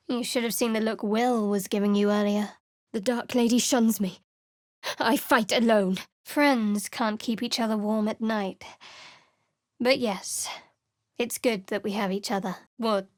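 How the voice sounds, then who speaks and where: An actress voicing a guarded, devout young cleric woman in a video game, speaking in a measured, wry and secretive way. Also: fairly high pitch, no room sound, clean studio sound, natural voice. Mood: solemn, devout. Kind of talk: video game character voice lines.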